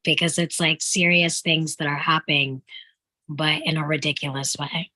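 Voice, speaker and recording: A woman's voice: deep voice, fairly even intonation, normal speed, pushed and bright, no room sound. A woman giving her opinion informally in a casual discussion, with plenty of "like" in her phrasing. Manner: informal, uses "like" often. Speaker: panel participant.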